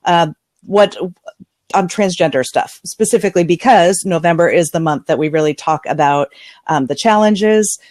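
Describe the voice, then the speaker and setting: A woman's voice: on the low side, normal speed, darkish tone, dry recording. A woman hosting a live online talk show, chatty and enthusiastic, speaking on a video call with headphones. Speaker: host.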